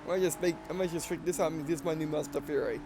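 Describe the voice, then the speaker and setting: A man with a mixed Southern and Russian accent talks through a racing game in a slightly high voice. He rambles and corrects himself between excited reactions. Engine and game sounds run loudly behind him. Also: very fast, background noise. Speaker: let's play gamer. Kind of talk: casual gameplay commentary.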